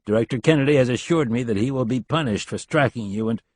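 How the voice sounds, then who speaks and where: A man's voice: medium pitch, fast, dry recording, pitched above the performer's own voice, close to an everyday voice. A man doing a firm, commanding male official. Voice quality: firm, authoritative.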